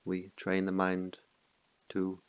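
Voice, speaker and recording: A man guiding a meditation as a teacher, speaking calmly in measured short phrases with long pauses. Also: sits low, clean tone. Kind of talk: guided meditation.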